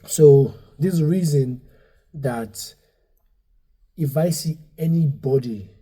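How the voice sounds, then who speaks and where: Nigerian man, dark husky voice. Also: fairly high pitch, takes it slowly. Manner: animated, loose, many fillers and repeated words. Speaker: comedian and comedy club owner. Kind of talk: casual interview chat.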